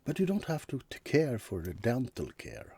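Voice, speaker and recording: A man playing a friendly, centuries-old vampire in an ASMR roleplay, rambling and slangy, with a soft, breathy voice. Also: mid-range voice, huge pitch swings, moderate pace, full character voice. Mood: casual, persuasive. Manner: rambling, slangy, hesitant, chuckling asides. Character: friendly laid-back male vampire, centuries old, chatty roommate. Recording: faint background noise.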